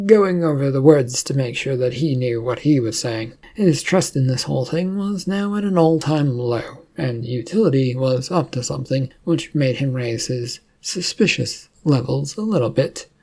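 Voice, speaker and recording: A man narrating a serial sci-fi story in a plain, slightly halting reading style. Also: medium pitch, expressive, a little mellow.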